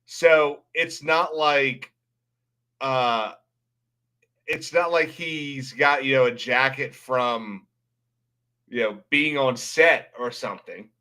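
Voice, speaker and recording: Bright, forceful man chatting on a livestream. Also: mid-range voice. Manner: rambling, blunt, swears casually. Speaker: livestream host. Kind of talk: casual livestream chat and commentary.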